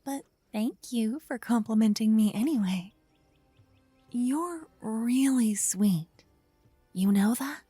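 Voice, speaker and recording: A woman playing an earnest, bashful young female paladin in an affectionate fantasy ASMR roleplay, speaking softly and gently to the listener. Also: a little high, expressive, no echo, faint music behind, exaggerated voice. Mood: bashful, fond. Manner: soft, breathy, gently flustered, slightly halting.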